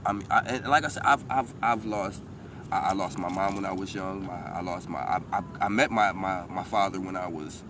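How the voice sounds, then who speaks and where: A man's voice: deep voice, a little fast. A man from Toledo, answering interview questions outdoors with many restarts and fillers. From Toledo, Ohio. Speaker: interview guest, rapper. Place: outdoors by downtown waterfront. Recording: distant mic with street noise.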